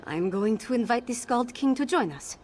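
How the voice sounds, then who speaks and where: A woman's voice: fairly high pitch, lively intonation, normal speed, darkish tone, close to an everyday voice. Woman playing a resolute young princess. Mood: earnest. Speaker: princess of the city. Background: game music.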